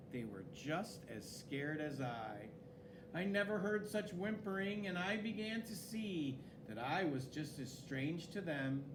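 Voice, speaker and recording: A man reading a children's story aloud in an expressive, dramatic way, with swooping emphasis. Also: a little high, noisy background. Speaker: story reader.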